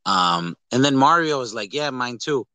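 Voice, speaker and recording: A man with a bright, crisp-edged voice of medium pitch talks as a podcast co-host. He rambles casually through his retellings and voices quoted lines as he goes. The sound is dry and close. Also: lively intonation, normal speed, no echo. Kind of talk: casual movie discussion.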